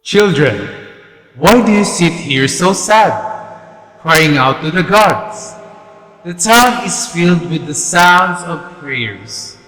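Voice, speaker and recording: Man acting as a solemn king, slow and echoing. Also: on the high side, animated, a bit bright. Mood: concerned. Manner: declamatory, stately. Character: solemn, commanding king of ancient Thebes, protective of his people. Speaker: actor in a readers' theatre play. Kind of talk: staged readers' theatre of Greek tragedy. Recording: echoey hall reverb with music behind.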